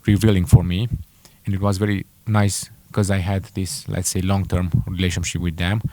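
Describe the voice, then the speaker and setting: A young man with a Romanian accent telling his own story to camera, halting and correcting himself with many fillers. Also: low-pitched, level tone, mellow, no room sound. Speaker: entrepreneur vlogger. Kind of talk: casual personal story to camera.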